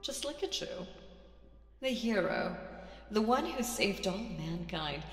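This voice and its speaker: A woman voicing a proud, mocking demon queen in an ASMR audio roleplay, theatrical and condescending with dramatic pauses. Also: mid-range voice, expressive, soft background music. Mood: scornful pity. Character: proud, mocking female demon queen, vengeful, regal villain. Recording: roomy with long reverb.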